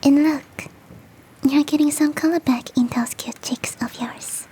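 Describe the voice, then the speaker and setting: A woman voicing a big, fluffy, motherly and flirty yeti in a soft, teasing, whispered ASMR roleplay. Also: high-pitched, steady tone, unhurried, slight background noise. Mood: tender, doting. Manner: tender, teasing, coaxing.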